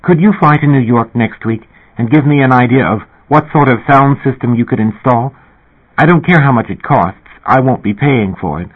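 A man reading an audiobook and voicing a shy, breathless young woman singer who talks in a rushing, excited way. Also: mid-range voice, breathy edge, pitched above the performer's own voice, close to an everyday voice. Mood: eager, breathless. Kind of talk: audiobook character voice. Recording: tinny phone-like audio.

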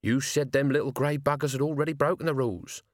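A man's voice: medium pitch, steady tone, fast, dry recording, clean studio sound, pitched above the performer's own voice, natural voice. A man voicing a cheeky old manservant, blunt and casual, with colloquial speech and dropped consonants. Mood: blunt, matter-of-fact.

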